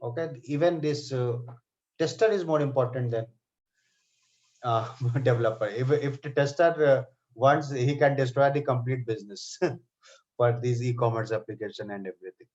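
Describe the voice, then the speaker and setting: A man's voice: mid-range voice, normal speed, darkish tone. Man teaching a live online class. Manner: addresses students by name, frequent "okay" and "right". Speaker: software testing trainer. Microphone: computer mic on a video call. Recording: slightly dull online call audio.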